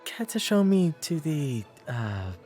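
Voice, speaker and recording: A man with an English accent playing a courteous, shy, bookish 19th century gentleman in a romantic audio drama, speaking formally and gently. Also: on the high side, sing-song, slow, faint music behind. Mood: hesitant, hopeful. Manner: formal, polite old-fashioned diction with hesitant, gentle delivery.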